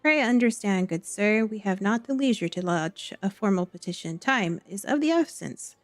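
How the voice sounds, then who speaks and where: An earnest, courtly petitioner from a fantasy game , acted out by a woman. The delivery is formal and courteous. The pitch is mid-range for a woman, with music behind. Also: animated, moderate pace, dry recording, natural voice. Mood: earnest pleading. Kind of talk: reading fantasy game dialogue aloud.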